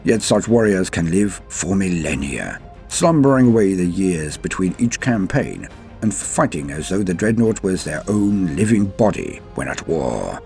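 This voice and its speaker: A man's voice: low voice, sing-song, a little slow, gravelly, a little mellow, faint music behind. A man hosting a lore show, delivering scripted narration in a theatrical, formal and florid manner. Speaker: host of a Warhammer lore show.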